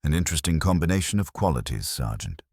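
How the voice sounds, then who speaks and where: A man acts out a reflective police inspector from a detective storybook, formal and wry. The voice is extremely low and deliberate, with expressive swings in pitch. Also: no echo, studio quality, full character voice. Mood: wry, musing.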